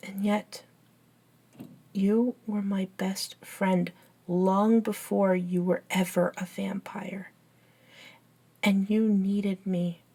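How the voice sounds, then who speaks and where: A woman playing an earnest, bookish young best friend in an ASMR audio roleplay, soft-spoken and hesitant, with pauses. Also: mid-range voice, breathy edge. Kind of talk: ASMR roleplay acting, one side of a dialogue.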